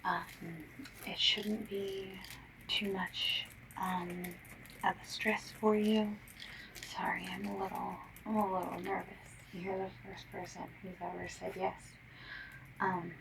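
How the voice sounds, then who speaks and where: A woman playing a shy, nervous phone survey caller in an ASMR roleplay, speaking softly and often near a whisper, hesitant and apologetic. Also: medium pitch, distant mic, noisy background. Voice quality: soft, breathy, often slipping into whisper. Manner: hesitant, apologetic, rambling, gentle.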